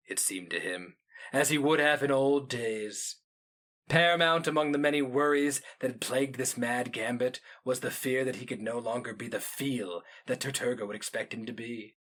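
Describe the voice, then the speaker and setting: A man narrating a fantasy story as a dramatic reading, solemn, deliberate and theatrical. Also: medium pitch, fairly bright tone.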